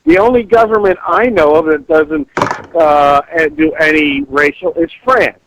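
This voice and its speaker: A man's voice: fairly high pitch, measured pace, background noise. A middle-aged American man arguing bluntly and dismissively on a phone call, lecturing the other person. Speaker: father taking a phone call. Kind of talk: heated phone argument. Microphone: telephone. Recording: dry phone call audio.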